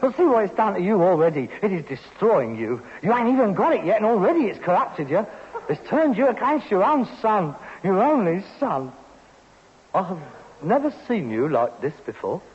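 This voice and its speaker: An actor playing a pompous, self-pitying grown son with a London accent and mock-grand airs, declaiming melodramatically in an old British radio sitcom. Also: male voice, high voice, big pitch swings, normal speed, a little mellow. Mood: wounded, indignant. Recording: muffled old radio recording, hiss, long reverb.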